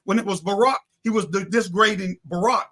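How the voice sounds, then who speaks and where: High-pitched, bright-voiced man speaking emphatically. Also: fairly quick. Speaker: talk show guest. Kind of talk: impassioned political discussion.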